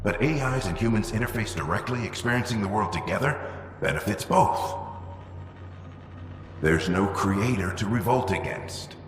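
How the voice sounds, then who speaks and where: Man acting a deep-voiced, driven military officer. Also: expressive, normal speed, lightly acted. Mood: insistent, driven. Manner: firm, persuasive, insistent. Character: driven, defiant military officer and visionary father. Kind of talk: video game voice acting. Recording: echoey reverberant hall.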